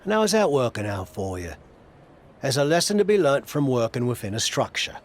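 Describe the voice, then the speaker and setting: An actor as a British veteran wrestler mentoring in a game documentary. He has a mid-pitched, animated voice, wry and colloquial, coaxing with dry humour. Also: a little fast, natural voice. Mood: coaxing, reflective. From United Kingdom. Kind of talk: video game documentary cutscene acting.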